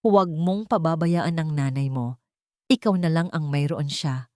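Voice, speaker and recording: A woman's voice: low voice, measured pace, darkish tone, no echo, studio quality. A woman voicing a loving, dying father, weak and short of breath, in an audio drama story. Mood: weak, solemn.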